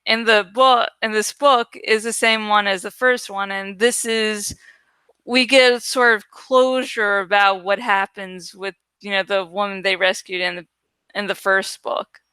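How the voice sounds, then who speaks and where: Bright, clear-voiced woman, casual and digressive. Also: medium pitch, fairly even intonation, unhurried, dry recording. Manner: informal, digressive. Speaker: host of a book read-aloud show. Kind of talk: casual, rambling fan commentary between dramatic readings.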